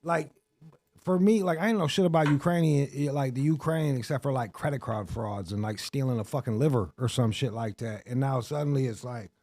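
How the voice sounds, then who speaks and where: A man's voice: mid-range voice, a little fast, a little mellow, dry recording. A man speaking as a podcast guest in slangy, profane casual chat, rambling with frequent restarts.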